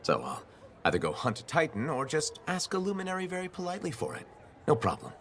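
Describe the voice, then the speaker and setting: An actor playing an easygoing, dryly sarcastic mercenary hero in a video game. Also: male voice, a little high, huge pitch swings, quick pace, fairly bright tone, slight background noise. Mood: dry, wry. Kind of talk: video game dialogue acting.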